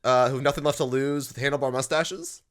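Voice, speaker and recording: A man's voice: medium pitch, fairly even intonation, fast talker, heavy background noise. A man joining in improvised party-game banter with playful mock outrage. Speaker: player. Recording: background crowd chatter.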